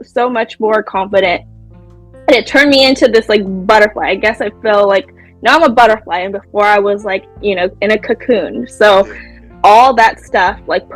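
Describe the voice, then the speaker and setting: A woman's voice: medium pitch, low-quality recording. A woman in her thirties whose first language is Mandarin, chatting openly as a podcast guest with frequent laughs and fillers. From California Bay Area, USA. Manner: warm, open, laughs often, many "like" fillers. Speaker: podcast guest, life coach. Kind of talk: casual interview chat.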